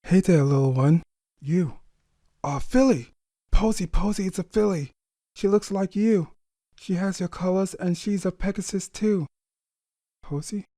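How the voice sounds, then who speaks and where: A man's voice: sits high, measured pace, pauses often, dark tone, no echo, studio quality, voice pushed up. A man voicing an emotional young pony stallion husband in a story, speaking in a strained, urgent, pleading way. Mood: tender joy. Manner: urgent repeated pleading and encouragement. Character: frantic young stallion husband, tender and desperate.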